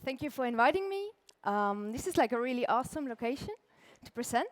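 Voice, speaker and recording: A young woman with an Austrian accent gives a relaxed talk from a conference stage. Her voice sits a little high. She chats loosely and fills pauses with "like". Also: long reverb tail, slight background noise. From Austria (Tyrol). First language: German. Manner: casual, chatty, many "like" fillers, laughs lightly. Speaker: conference speaker, illustrator.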